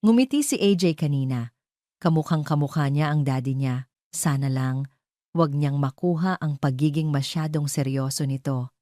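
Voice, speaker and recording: Filipino lady reading a dramatic audio story, lowish voice, mellow, super dry recording. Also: moderate pace, no echo. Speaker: story narrator.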